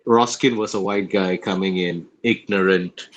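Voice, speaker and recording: A man's voice: low voice, fairly even intonation, a little slow, lo-fi sound. A man answering interview questions as a guest, reflective and casual, repeating phrases for emphasis. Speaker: actor, podcast guest.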